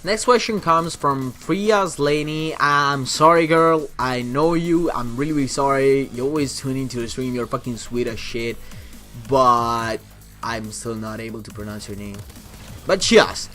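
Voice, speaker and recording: Young Italian-accented guy for a casual Q&A vlog; chatty, profane, keeps restarting sentences at a middle pitch. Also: measured pace, fairly bright tone, soft background music. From Italy. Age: young adult. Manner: rambling, profane, frequent restarts. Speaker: YouTuber and streamer.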